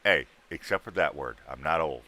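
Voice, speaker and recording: A man's voice: rumbling low, normal speed, bright, forceful tone, no room sound. A man in his thirties, hosting a livestream and bantering with guests in trucker slang. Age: 30s. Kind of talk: casual livestream chat.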